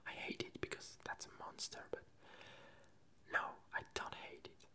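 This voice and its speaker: An ASMR creator chatting casually in a breathy, unvoiced whisper, in a halting, rambling way with frequent self-corrections. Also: pushed and bright.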